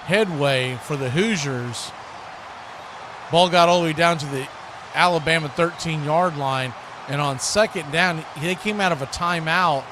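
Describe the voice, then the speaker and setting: A man's voice: fairly high pitch, background noise. An American man working as a sports host and play-by-play commentator on a live football watch party.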